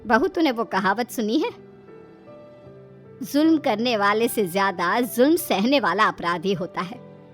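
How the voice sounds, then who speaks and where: A woman's voice: medium pitch, lively intonation, a little slow, pauses often, soft background music, natural voice. A woman playing a shrewd older village mother-in-law in a story, chiding and teasing. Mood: advising.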